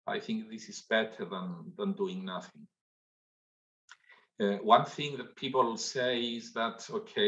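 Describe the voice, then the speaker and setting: An older man speaking as a guest on a conference panel over a video call, slow and halting, with many pauses and restarts. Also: on the low side, fairly bright tone. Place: home room, indoors. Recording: dull lo-fi video call audio.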